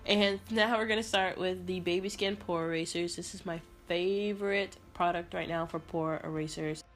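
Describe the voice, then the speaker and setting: A young woman vlogger with a raspy voice and a sore throat, chatting casually through a makeup tutorial. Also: lowish voice, moderate pace. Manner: chatty, rambling, relaxed. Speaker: beauty vlogger.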